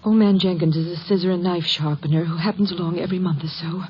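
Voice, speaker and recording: Frail, worried married woman acted, hesitant and hushed, for a vintage radio mystery with dull sound and light hiss. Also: on the low side, fairly even intonation, quick pace, lightly acted. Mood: anxious, confiding. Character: frail, anxious, secretive wife. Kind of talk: old-time American radio drama acting. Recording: muffled, slightly noisy vintage radio recording.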